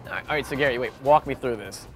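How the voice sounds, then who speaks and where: A man presents an educational segment as its host and interviewer. His pitch sits in the middle of the male range, and clear music plays behind him. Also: very fast, rough recording. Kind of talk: scripted narration and casual interviewing.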